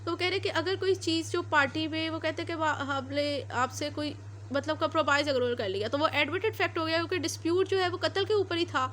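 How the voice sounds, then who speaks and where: High-pitched Pakistani woman giving a lecture. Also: fast talker, a bit bright, a little hiss or hum. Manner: informal, repetitive explaining, switching into English legal terms. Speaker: law lecturer. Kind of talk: explanatory lecture with everyday examples.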